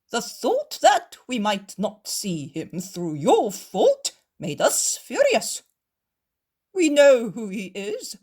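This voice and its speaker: A woman's voice: a little high, a little mellow, husky, voice pushed up, full character voice. A woman reading a story aloud, voicing proud male Pharisees who berate the host, then turn falsely pious. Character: two proud, vainglorious male Pharisees, angry then fawning.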